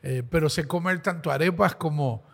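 A Venezuelan man with a Venezuelan accent, a singer-songwriter guest explaining things in a friendly, warm way. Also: a little high, moderate pace, creaky, long reverb tail. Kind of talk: casual interview.

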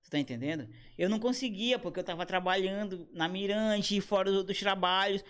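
A man in his thirties, a comedian and motion designer, chatting casually in a slangy, animated way with quoted asides. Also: high-pitched, fairly quick, clean tone. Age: 30s. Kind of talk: casual podcast conversation.

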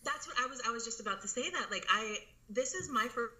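A woman's voice: fairly high pitch, animated, fast talker, bright, forceful tone. A woman in her thirties, an interview guest telling her story in a casual, rambling way with lots of fillers and restarts. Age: 30s.

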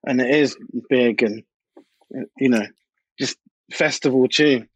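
A man's voice: mid-range voice, slow pace, husky, dry recording, low-quality recording. A British man, a DJ and producer, chatting informally and anecdotally as an interview guest. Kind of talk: casual interview chat.

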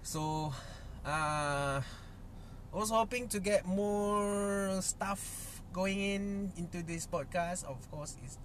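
A young Malaysian man, a native Malay speaker, talks casually in English on his own podcast. He speaks unhurriedly with a slightly raised pitch, stumbling through fillers and restarts. A faint hiss runs behind his voice. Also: fairly bright tone. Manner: halting, many fillers and restarts, chuckles. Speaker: podcast host. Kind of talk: casual solo podcast intro.

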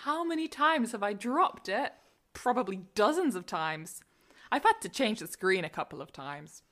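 Woman, matter-of-fact, lively intonation, lesson dialogue reader. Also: mid-range voice, normal speed.

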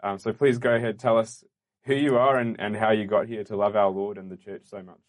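Earnest low-voiced male podcast host. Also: fairly even intonation. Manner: earnest, measured and welcoming, with fillers. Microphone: studio microphone.

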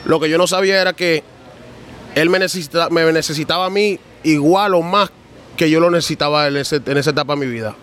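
A man's voice: on the high side, fast talker, fairly bright tone. A man who grew up in New York, chatting as a podcast guest and telling his story in loose, slangy talk. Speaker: guest, trader. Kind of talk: casual podcast chat.

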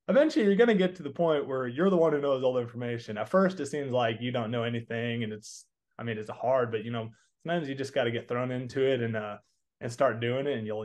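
A man's voice: mid-range voice, darkish tone. Young Southern-accented man, interview guest, earnest and chatty. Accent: Southern American. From Texas. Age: 20s. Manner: earnest, rambling, many restarts and fillers. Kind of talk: casual interview answers.

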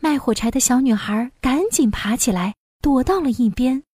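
A woman storyteller reading a scripted fairy tale for young children in an expressive manner. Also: high voice, moderate pace, dry recording, clean studio sound.

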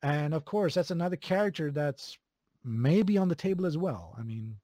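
A man from Sweden with a Swedish accent, chatting casually as an interview guest, relaxed, with many fillers and chuckles. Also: a little high, normal speed, no echo, studio quality. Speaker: video game composer, guest.

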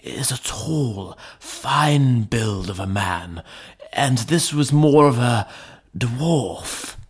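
A man voicing an agitated, deferential elderly butler in an audiobook, loyal and frightened, speaking haltingly and emphatically. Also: medium pitch, animated, husky, pitched above the performer's own voice. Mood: insistent, shaken. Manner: halting, emphatic, servile address. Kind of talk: dramatized audiobook reading.

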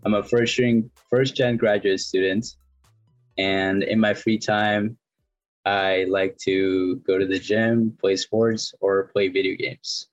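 Low-voiced young man from Peru. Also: little pitch movement, takes it slowly, no echo, lo-fi sound, faint music behind. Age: 20s. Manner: hesitant, many fillers and restarts. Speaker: guest. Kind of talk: casual interview answers.

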